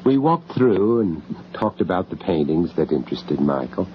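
An urbane gallery owner telling his own eerie tale in a radio horror drama. He is reflective and a little hesitant, with a widely moving, expressive voice. The recording is dull, with faint noise behind it. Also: low-pitched, moderate pace. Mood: measured, intrigued. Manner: hesitant, reflective, with chuckles in dialogue. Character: urbane, uneasy foreign art gallery owner in London, recounting horror. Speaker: narrator and lead character of a radio horror story. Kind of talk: first-person radio drama narration. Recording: muffled, noisy old radio drama recording.

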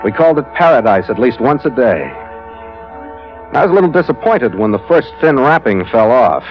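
Casting: male actor as hard-boiled sea captain, animated delivery, clipped slang, old dull radio sound. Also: mid-range voice, normal speed, close to an everyday voice. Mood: measured narration. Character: plainspoken, hard-boiled sea captain narrating his voyage. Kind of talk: 1940s radio adventure acting and narration. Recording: dull vintage radio recording with music.

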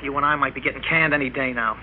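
An American actor playing a cynical, defiant office clerk, brash, sarcastic and slangy, in old-time radio drama style. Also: male voice, mid-range voice, fairly even intonation, racing, phone-quality sound, lightly acted. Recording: thin, noisy vintage radio recording.

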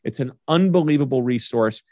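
A man's voice: mid-range voice, lively intonation, fairly quick, mellow, no room sound, studio quality. A man hosting an interview show, enthusiastic and upbeat as he gives announcements and introduces his guest. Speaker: podcast host.

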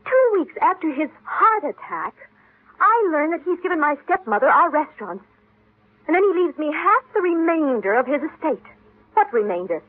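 A woman's voice: high voice, big pitch swings, moderate pace, no echo. An actress playing a bitter, determined young woman of twenty in old-time radio drama. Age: young adult. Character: bitter, suspicious, determined twenty-year-old heiress daughter. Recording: tinny narrow-band vintage radio recording.

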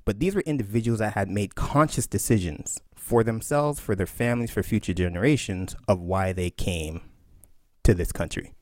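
A man from Barbados, a historian and author, answering interview questions in an emphatic, animated way with quoted asides. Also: lowish voice, moderate pace, no room sound, studio quality.

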